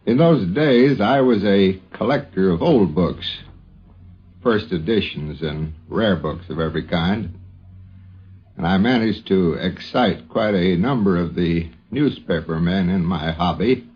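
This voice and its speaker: Slow, low-voiced older man. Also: husky. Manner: deliberate, literary phrasing. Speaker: interviewee recalling an old friend. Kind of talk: measured spoken reminiscence. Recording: dull 1950s radio recording.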